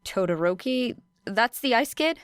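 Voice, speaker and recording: A woman's voice: on the high side, expressive, a little slow, smooth, no room sound, pitched above the performer's own voice. A woman voicing a brash, teasing teenage girl student with blunt, sarcastic delivery in an audiobook. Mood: matter-of-fact. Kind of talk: audiobook character dialogue.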